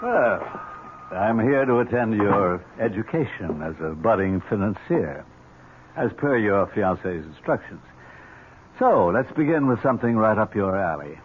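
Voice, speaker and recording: Sardonic needling uncle, tinny radio. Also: male voice, on the low side, expressive, measured pace. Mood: mocking. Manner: mocking, dry, drawling taunts. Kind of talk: 1970s radio drama acting. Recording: tinny old radio broadcast with hiss.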